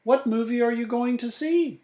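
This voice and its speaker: A man's voice: very high voice, moderate pace, mellow, echoey room, voice pushed up. A man voicing a mild, eager sister with a sweet, imploring manner in a children's story. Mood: curious.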